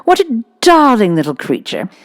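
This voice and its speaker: A woman voicing a cold headmistress in a storybook who speaks in gushing, insincere flattery. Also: on the high side, moderate pace, no room sound, studio quality, voice pushed up. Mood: flattering.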